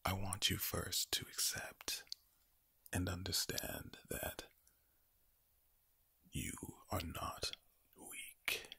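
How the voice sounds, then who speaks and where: A man speaking in a low, breathy, villainous-sounding whisper, slowly and repetitively reassuring the listener in ASMR style. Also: pushed and bright, no echo. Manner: slow, repetitive, soothing address to the listener.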